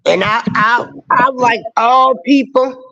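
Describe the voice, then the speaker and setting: A man's voice: extremely high pitch, takes it slowly. A man hosting a live stream, chatting in an easygoing, affirming way and often repeating himself. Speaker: host of a live stream show. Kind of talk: casual livestream talk. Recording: dull lo-fi stream audio with faint hiss.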